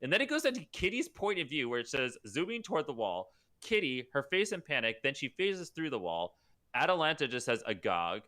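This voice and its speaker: A man co-hosting a livestreamed table read. He reads stage directions aloud in a bright, slightly high voice that swings widely in pitch, and keeps stopping to crack jokes. It sounds like a home microphone. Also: sing-song, brisk. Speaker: podcast co-host. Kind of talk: comedic live table read and chat.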